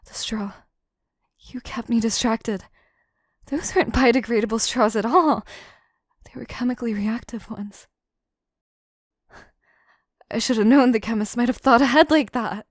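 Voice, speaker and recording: A woman's voice: a little high, no room sound, clean studio sound. A woman playing an obsessive, scheming yandere assistant in an audio roleplay, speaking in formal, theatrical phrases to the listener. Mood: shocked, bitter. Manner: theatrical, formal phrasing, slow and deliberate, dropping into whispers. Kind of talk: scripted audio roleplay, intimate monologue to listener.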